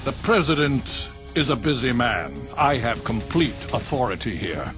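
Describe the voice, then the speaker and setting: An actor with an American accent playing a cold, condescending government official in a video game cutscene, smug in manner. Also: male voice, mid-range voice, very animated, a little slow, music in the background. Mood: smug, dismissive.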